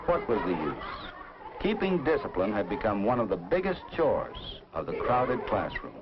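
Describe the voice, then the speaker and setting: An American man reading scripted 1950s educational film narration in dramatic, measured short phrases. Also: on the low side, huge pitch swings, heavy background noise. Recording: dull vintage film soundtrack.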